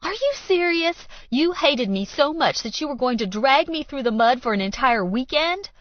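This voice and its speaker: A woman's voice: high voice, big pitch swings, normal speed, lo-fi sound, pitched above the performer's own voice. A woman narrator voicing a hurt, angry young woman with a quavering, accusing delivery in an audiobook romance. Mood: furious, hurt. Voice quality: wobbly, quavering. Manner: emotional, accusing, crying out. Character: hurt, angry young woman confronting her lying lover.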